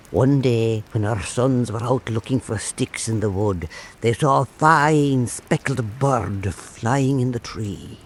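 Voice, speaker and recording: A man playing an old island storyteller, telling a folk tale in a measured, earnest, dramatic way for a radio drama. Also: medium pitch, darkish tone, a little hiss or hum.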